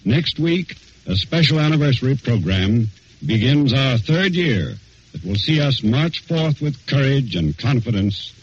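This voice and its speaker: A man's voice: mid-range voice, big pitch swings, measured pace, breathy edge. An American man announcing from a script in formal, declamatory 1940s radio style. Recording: dull, noisy 1940s radio broadcast.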